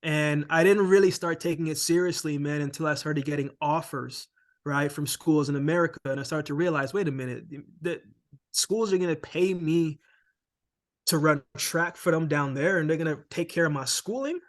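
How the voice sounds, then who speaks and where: A man from Jamaica, a former sprinter, telling his story as a guest in a casual interview, relaxed and tagging lines with "man" and "right?". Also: on the high side, moderate pace.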